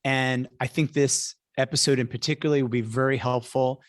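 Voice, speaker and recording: Male podcast host, mid-pitched and conversational, a practised speaker, recorded dry with little room sound. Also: steady tone, normal speed, no echo.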